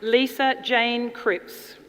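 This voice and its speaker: A woman formally announcing graduates' names at a ceremony, in a measured, deliberate delivery. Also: fairly high pitch, steady tone. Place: large indoor ceremony hall. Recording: reverberant hall, audience noise, clear background noise. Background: audience crowd noise.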